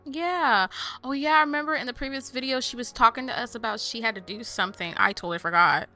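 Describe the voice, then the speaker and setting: Woman, bright forward voice, chatty gaming host, informal gameplay commentary, faint music behind. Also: a little high, fast talker. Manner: chatty, informal, addresses viewers as y'all.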